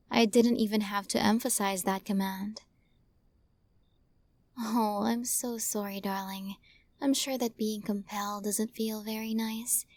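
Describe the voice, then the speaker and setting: A woman's voice: on the high side, no room sound. A woman acts out an adoring but controlling witch for an ASMR roleplay. She takes her time, coaxing sweetly with menace underneath and often dropping into a whisper. The sound is intimate, close and very dry. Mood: smug, commanding. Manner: slow, coaxing, hushed, often slipping into whispers. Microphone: close microphone, dry recording.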